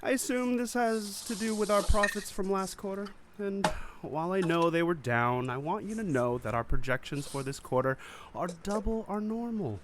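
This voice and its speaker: A man's voice: high-pitched, expressive, moderate pace, fairly bright tone, slight background noise. A man playing a flamboyant, fawning middle-aged alien casino boss in a script table read, theatrical and flowery. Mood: anxious, pleading.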